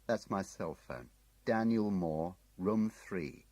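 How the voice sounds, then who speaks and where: A man's voice: low-pitched, lively intonation, slow pace, warm tone, dry recording, voice pushed up, exaggerated voice. A man voicing a brusque, mocking young male agent who taunts and jabs at the man he is watching. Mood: taunting. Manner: taunting, jabbing.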